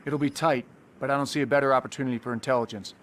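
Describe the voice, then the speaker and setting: A man's voice: medium pitch, fairly even intonation, racing, a bit bright, natural voice. Game squad commander , male actor: mid-range voice, terse, confident, matter-of-fact orders, slight background noise. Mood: urgent, decisive. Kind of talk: video game cutscene acting, mission briefing.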